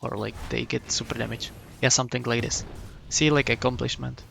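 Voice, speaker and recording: Gravelly-voiced man coaching. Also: medium pitch, level tone, fairly bright tone, slight background noise. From Czech Republic. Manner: halting, many restarts and hums while explaining. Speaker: game coach. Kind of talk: casual coaching talk.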